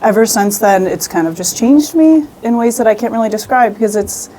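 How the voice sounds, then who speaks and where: A woman's voice: on the high side, fairly quick, dark tone, a little hiss or hum. A woman chatting casually and earnestly as an interview guest about her creative work. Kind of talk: casual conversation.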